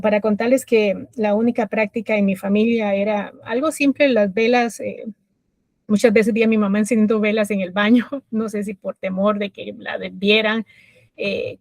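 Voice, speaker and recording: A middle-aged woman from Costa Rica with a Costa Rican accent, a guest telling her life story in a reflective way with many pauses and fillers. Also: fairly high pitch, a little mellow, dry recording. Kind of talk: personal story interview.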